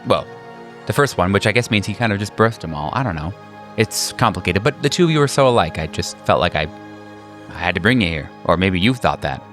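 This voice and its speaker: A man's voice: deep voice, lively intonation, brisk, fairly bright tone, faint music behind. A man voicing an eager, motherly, bookish woman, chatty and a little hesitant as she makes introductions. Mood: eager, coaxing.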